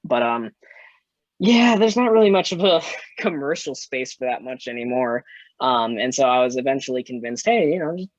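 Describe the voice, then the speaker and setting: A man's voice: medium pitch, animated, normal speed, dry recording, highs cut off. A man in his twenties, a musician and podcast guest, rambling in casual chat, filling his talk with "like" and "you know" and swearing freely. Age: 20s.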